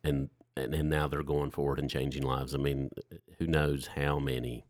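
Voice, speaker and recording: A man, hosting a casual faith conversation in a reflective, halting, informal way. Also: rumbling low, level tone, dry recording, studio quality. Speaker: host.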